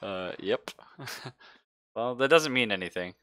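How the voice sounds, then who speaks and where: A man's voice: mid-range voice, animated, slow, sharp tone, smooth, no room sound, clean studio sound. A man running a tabletop game as game master, casual and joking, with plenty of swearing.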